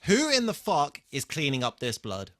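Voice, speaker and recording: A man co-hosting a podcast in casual conversation, picking his way through his points haltingly with dry asides. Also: fairly high pitch, huge pitch swings, a bit bright, no echo. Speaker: podcast co-host. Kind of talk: casual chat, re-watch commentary.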